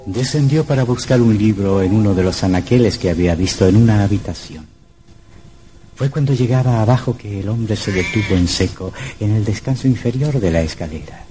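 A man's voice: fairly low pitch, brisk. A man narrating a scripted old radio horror drama in a dramatic, suspenseful manner.

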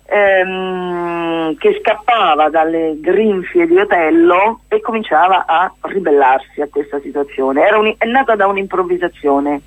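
A woman, chatting as a playwright-actress guest in a casual radio interview, often halting and rephrasing herself. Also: mid-range voice, no echo, phone-quality sound. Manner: chatty, halting and self-correcting, with amused asides. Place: radio studio.

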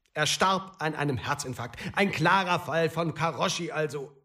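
An actor playing an authority chief who delivers a formal, rhetorical, emphatic political speech in a radio play. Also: male voice, on the high side, fairly bright tone, lightly acted. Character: smooth-talking male authority chief addressing an assembly.

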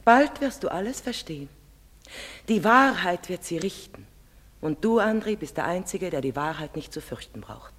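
A proud, sorrowful mother in a stage drama, with a very low and somewhat husky voice. She speaks with solemn, measured emphasis, and a long hall reverb carries every phrase. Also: expressive, a bit bright. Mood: proud, grave. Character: proud, sorrowful middle-aged foreign mother, secretive and intense. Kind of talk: German stage-drama acting.

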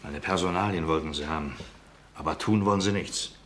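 An actor playing a resigned, sceptical citizen in a German radio play, speaking in a dry, weary, needling way. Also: male voice, deep voice, a little fast, a bit bright. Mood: resigned, reproachful. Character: resigned, sceptical male citizen reporting to police.

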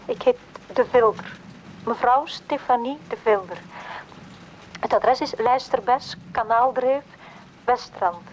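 Actress as a high-voiced, frightened wealthy wife. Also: female voice, a little hiss or hum, lightly acted, poor audio. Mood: desperate, pleading. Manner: anxious, pleading. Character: frightened, desperate wealthy wife in her early thirties. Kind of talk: radio drama acting.

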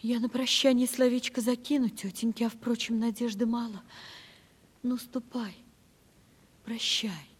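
An actress playing a poor young niece in love, desperate yet resolute, in a hushed, earnest radio drama style. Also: female voice, a little high, steady tone, unhurried, pauses often, breathy edge. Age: young adult. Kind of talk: 1970s Russian stage play radio acting. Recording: reverberant hall, old radio drama.

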